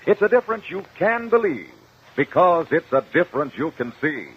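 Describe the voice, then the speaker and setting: A male announcer delivering a 1950s radio commercial with emphatic, persuasive, deliberate phrasing. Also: fairly high pitch, sing-song, slightly rough. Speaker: cigarette advertisement announcer. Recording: dull, lo-fi vintage radio recording.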